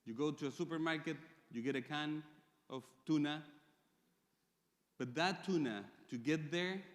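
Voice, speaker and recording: A man from Chile with a Chilean Spanish accent, speaking English as a second language and giving a conference presentation with measured pauses and fillers. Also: on the high side. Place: large conference hall. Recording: reverberant conference hall.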